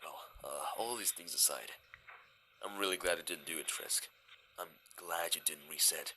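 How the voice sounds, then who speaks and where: A man in a fan audio drama playing a gentle, weary, laid-back male skeleton, speaking in a hesitant, casual, understated way. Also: low-pitched, moderate pace, slight background noise, full character voice, low-quality recording. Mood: gentle, uneasy.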